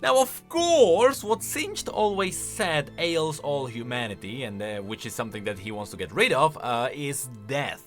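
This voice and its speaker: A man's voice: medium pitch, sing-song, measured pace, few pauses, faint music behind. A man hosting casual reaction and explanation commentary, talking in an emphatic, conversational way. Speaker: video host.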